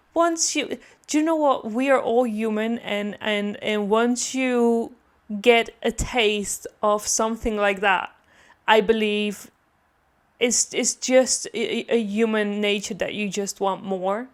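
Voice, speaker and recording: A woman giving casual reaction commentary on a game, musing aloud hesitantly and often repeating herself. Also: a little high. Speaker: gaming reaction video creator.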